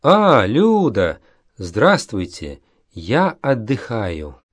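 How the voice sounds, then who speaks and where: Tsubasa, a polite young man in a course dialogue. He speaks slowly and carefully, letting his pitch rise and fall a lot. The sound is dry and close. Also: wildly swooping pitch, dry recording, full character voice. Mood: plain. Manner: carefully enunciated, deliberate. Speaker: dialogue reader in a language course. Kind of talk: slow, clear textbook dialogue reading.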